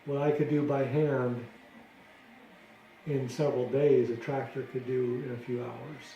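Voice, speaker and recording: A middle-aged American man from Detroit giving earnest, deliberate explanations in an informal interview. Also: mid-range voice, little pitch movement, dark tone, lo-fi sound. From Detroit, United States. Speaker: interviewee, ministry founder. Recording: roomy echo with clear background noise.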